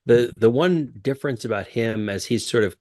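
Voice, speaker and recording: A man hosting a podcast, introducing the guest in an upbeat, energetic way. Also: mid-range voice, normal speed, no room sound, clean studio sound. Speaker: podcast host. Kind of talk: casual podcast chat.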